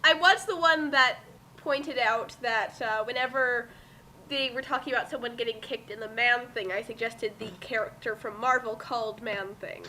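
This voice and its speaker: A woman bantering casually and reacting excitably during a group reading, full of exclamations. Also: a little high, moderate pace, slight background noise, poor audio. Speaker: commentator in a dramatic fanfic reading.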